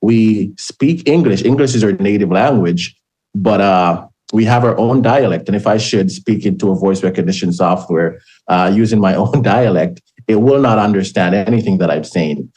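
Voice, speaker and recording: A Jamaican man hosting a panel, his voice sitting on the low side. He talks in a halting way, stopping and restarting his sentences with frequent fillers. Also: a little mellow. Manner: hesitant, many fillers and restarts. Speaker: podcast host. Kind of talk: panel discussion.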